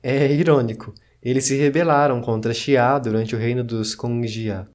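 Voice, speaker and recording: A man's voice: medium pitch, steady tone, normal speed, a little mellow, close to an everyday voice. Man as a solemn ancient chronicler. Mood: solemn, grave. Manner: solemn, formal storytelling. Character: ancient male survivor of a fallen dynasty, solemn chronicler. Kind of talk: scripted dramatic narration.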